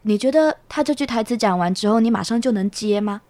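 A woman's voice: fairly high pitch, fast, mellow, no echo. A young woman, a student guest, explaining things casually in an interview, often with quoted examples. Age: young adult.

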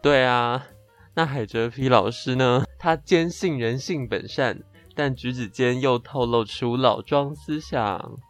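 A young man with a Taiwanese Mandarin accent co-hosts a podcast. His voice sits at an average male pitch as he spins comic anecdotes with playful exaggeration. Light music plays underneath. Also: measured pace. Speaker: podcast co-host. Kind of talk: chatty comic storytelling in a two-host podcast.

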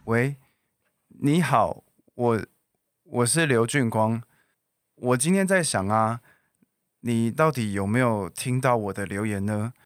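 A young man with a Taiwanese accent acting a shy, earnest, halting student in an audio drama. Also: medium pitch, smooth, dry recording, studio quality, lightly acted. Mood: awkward, earnest. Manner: halting, awkward. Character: shy, awkward, earnest lovesick male student.

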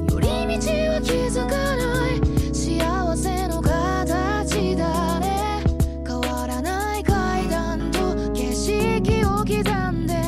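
A woman singing a slow, melodic pop song over music. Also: very high-pitched.

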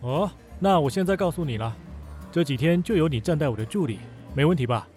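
A man's voice: on the high side, lively intonation, fairly quick, loud music behind the voice, close to an everyday voice. A man voicing a cool, spiteful young male general manager who speaks curtly. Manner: curt and dry. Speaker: voice actor in an audio drama. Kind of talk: modern audio drama acting.

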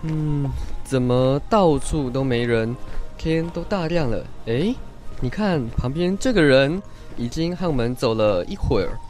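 A man plays an anxious, doubtful older villager from ancient times in a radio drama. He speaks in an expressive, exclaiming way at a middling pitch. Faint music runs behind him. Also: a little slow, close to an everyday voice. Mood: puzzled.

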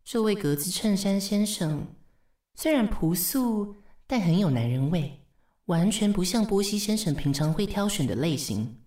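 A woman's voice: medium pitch, expressive, measured pace, warm tone, no room sound. A weary, sarcastic female assistant with a Taiwanese accent. She sits in a middle register and needles with teasing, wry remarks. The radio drama recording is very dry. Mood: sardonic. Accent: Taiwanese Mandarin. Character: sardonic, long-suffering female assistant to a fashion director. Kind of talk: radio drama acting with inner monologue.

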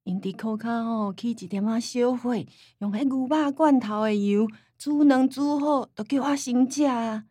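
An actress playing an elderly, forgetful, stubborn grandmother in a radio drama, with a Taiwanese accent and rambling, drawn-out speech. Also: on the high side, warm tone, no room sound, studio quality, close to an everyday voice. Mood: reminiscing. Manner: rambling, drawn-out sentence-end particles.

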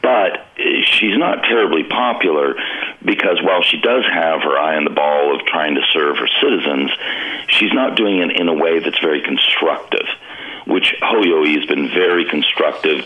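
A man calling in by telephone as a guest commentator, talking through political views and thinking aloud as he goes. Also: extremely low pitch. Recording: dull lo-fi phone line.

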